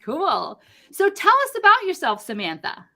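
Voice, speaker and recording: A woman's voice: high-pitched, wildly swooping pitch, moderate pace, sharp tone. A woman hosting a video-call interview show, reading a guest introduction and then chatting in an upbeat, effusive way. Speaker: host, life coach.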